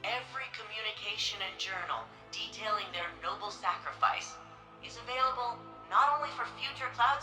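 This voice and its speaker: An actress playing an eloquent city archivist in a sci-fi game, narrating a museum tour in a stately, polished, reverent manner. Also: female voice, medium pitch, lightly acted. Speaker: recorded museum guide. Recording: roomy echo with music behind.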